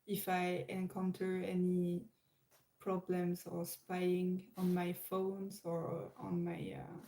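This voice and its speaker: A woman's voice: fairly low pitch, mellow, rough recording. A French woman with a French accent, chatting live to viewers and hesitating often with fillers and restarts. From France. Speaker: livestream host. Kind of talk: casual live chat.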